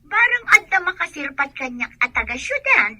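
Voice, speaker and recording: A woman's voice: high voice, very animated, normal speed, sharp tone, no room sound, exaggerated voice. A woman with an Ilocano accent playing a stubborn, eager young country daughter in a radio drama, whiny and insistent.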